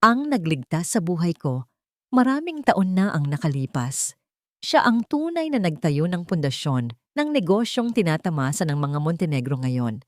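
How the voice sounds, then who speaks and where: A woman voicing a calm, secretive older male doctor in a storybook, speaking in a measured, grave manner. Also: fairly low pitch, no echo, clean studio sound. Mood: solemn, sad. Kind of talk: storybook character dialogue.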